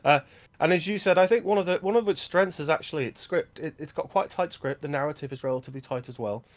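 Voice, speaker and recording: A man co-hosting a film podcast, agreeing with his partner and adding short opinions in casual chat. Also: a little high. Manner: quick agreeing interjections, offers short opinions. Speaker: podcast co-host.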